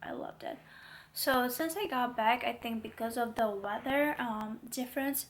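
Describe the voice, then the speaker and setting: A woman's voice: a little high, a little slow. A young woman vlogging casually to camera with a stuffy, congested voice, chatty and rambling with many fillers. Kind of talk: casual talking-to-camera vlog.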